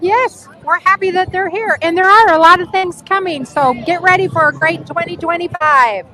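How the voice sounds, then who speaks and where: Friendly city councilwoman outside, really high sharp voice. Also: normal speed, poor audio. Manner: friendly, laughs easily. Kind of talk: live interview. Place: outdoors at a street festival.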